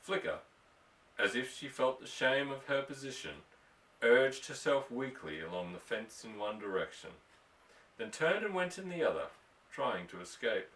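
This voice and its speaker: Animated male audiobook narrator, echoey room. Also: medium pitch, normal speed. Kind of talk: scripted story narration. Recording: echoey room, distant microphone.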